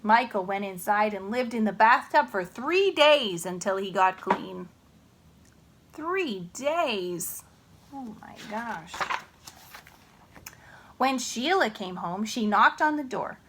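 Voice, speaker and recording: A woman reading a children's story aloud in an expressive, unhurried way, with chatty asides. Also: medium pitch, noisy background.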